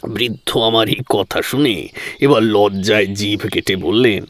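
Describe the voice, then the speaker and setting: A man's voice: fairly low pitch, unhurried. Man narrating a dramatic audio story.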